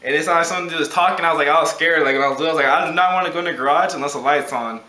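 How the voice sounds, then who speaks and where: A man's voice: fairly high pitch, rapid-fire, bright, forceful tone. A young man casually telling a story at home, chatty and full of fillers, laughing often. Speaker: co-host. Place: room at home. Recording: echoey room.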